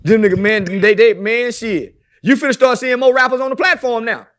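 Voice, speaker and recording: A man's voice: very high-pitched, steady tone, a little fast, fairly bright tone, smooth. A man talking brashly as a podcast guest, boastful and preachy, swearing constantly.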